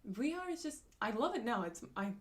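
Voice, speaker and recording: A young woman in casual conversation, talking at her desk mic while streaming from home. Her voice sits a little high, and she runs on with fillers, restarts and quick laughs as she answers viewers' comments. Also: sing-song. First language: not Japanese. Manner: chatty, many fillers and restarts, frequent laughs. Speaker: live-stream host. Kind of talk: casual live-stream chat reading comments.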